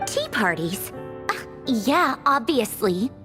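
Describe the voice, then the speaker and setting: Haughty, sardonic villainess, animated. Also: female voice, on the high side, slow pace, music in the background. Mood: sarcastic. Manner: sarcastic, dismissive, theatrical. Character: haughty, sardonic young female villain officer, secretly wistful. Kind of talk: video game voice acting.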